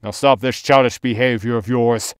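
Stern middle-aged father, acted by a man, medium pitch, formal, authoritative, scolding, dry game recording. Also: fairly even intonation, moderate pace, smooth, no echo, close to an everyday voice.

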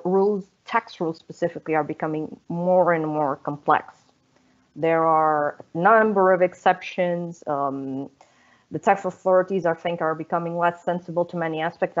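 A woman, a lawyer answering questions on a webinar in a wry, conversational way, over earbuds. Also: on the low side. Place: home office with bookshelves. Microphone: wired earbuds on video call. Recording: slightly dull video call audio.

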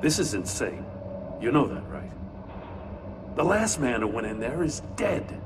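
An actor playing a wry, worried middle-aged police ally in a video game, sardonic and plain-spoken. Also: male voice, mid-range voice, very animated, measured pace, noisy background.

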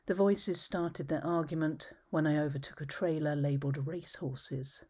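A woman reading her own poems at home, measured and thoughtful, often trailing into a whisper. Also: deep voice, fairly even intonation, darkish tone, slightly rough. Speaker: poet. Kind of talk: poetry reading. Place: home study with bookshelves, indoors.

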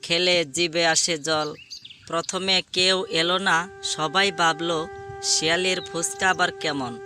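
Male narrator, scripted fable storytelling, fairly high voice, even intonation, music underneath. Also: takes it slowly.

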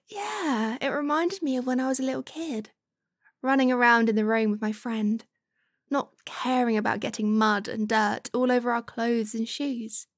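Woman acting as a high-voiced caring British stranger. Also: dry recording, clean studio sound. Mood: playful, relieved. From United Kingdom. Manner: chatty, expressive, giggly hesitations. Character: caring, fussing young woman, flustered friendly stranger. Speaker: ASMR roleplay voice performer. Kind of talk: acted ASMR roleplay, conversational. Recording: hiss and steady rain sound. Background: rain falling.

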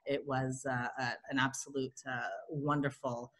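A woman's voice: very deep voice, flat delivery, moderate pace, fairly bright tone. A woman in her forties from the south of France with a West Coast Canadian accent, guiding a wine tasting over a video call, chatty and laughing often. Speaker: wine brand director, guest. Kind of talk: online wine tasting talk. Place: home room. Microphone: laptop webcam video call.

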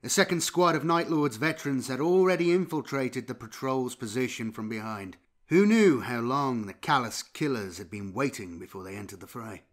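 A man's voice: mid-range voice, a bit bright. A man reading dramatic scripted fiction as an audiobook narrator. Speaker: audiobook narrator and channel host.

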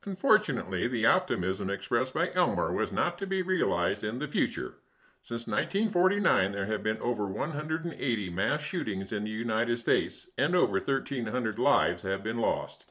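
A man's voice: lowish voice, sing-song, normal speed, a bit bright. A man playing a serious radio newsman, reading a scripted bulletin and narration in a 1940s radio drama. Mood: grave.

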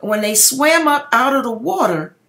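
Theatrical woman, very animated, high-pitched. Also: normal speed, gravelly. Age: middle-aged. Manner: theatrical, emphatic storytelling. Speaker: online video host. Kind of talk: dramatic reading of a dream, with commentary. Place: home kitchen, indoors. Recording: echoey kitchen room sound.

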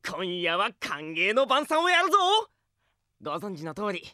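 An actor playing a boisterous, clumsy, good-hearted young village man in a Japanese fantasy audio drama, hearty and excitable. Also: mid-range voice, normal speed, no echo, studio quality. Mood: hearty, celebratory.

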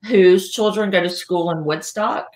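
A woman's voice: medium pitch, unhurried, a little mellow. Female co-host, forties, casual anecdotal chat, echoey room over video call. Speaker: talk show co-host.

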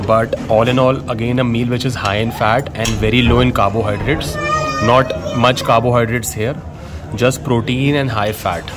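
A man hosting a food vlog, explaining the calories of dishes plainly as he films them in a restaurant. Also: mid-range voice. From India. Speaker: food vlogger. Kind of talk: casual food review narration. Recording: restaurant background noise.

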